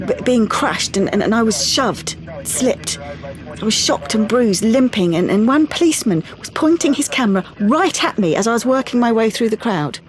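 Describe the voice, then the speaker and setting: A woman's voice: mid-range voice, natural voice. An actress playing a wary, easily indignant young woman in an audio drama, with clipped, stammering delivery. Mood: shaken. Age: young adult. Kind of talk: audio drama monologue and dialogue.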